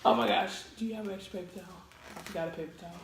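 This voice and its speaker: Hoarse gravelly woman chatting while eating. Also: medium pitch, rapid-fire. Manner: relaxed, chatty, talking between bites. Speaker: channel host. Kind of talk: casual mukbang chat while eating. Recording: echoey room with noise.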